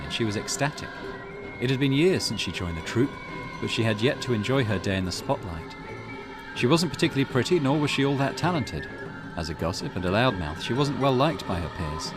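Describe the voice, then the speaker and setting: A man hosts a let's play of a story game, reading the text aloud and commenting on it. His voice sits fairly low, with game music clearly playing behind him. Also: animated, brisk. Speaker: gaming channel host.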